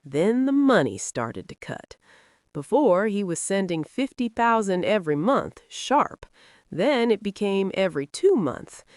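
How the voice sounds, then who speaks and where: A woman performs a proud Nigerian market mother recounting her own folktale with bitter regret. Her Nigerian-accented voice sits at a middling pitch and moves in lively, emphatic swings. The storytelling is dramatic, recorded very dry with no room around it. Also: a little slow, no echo. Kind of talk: dramatic first-person folktale narration.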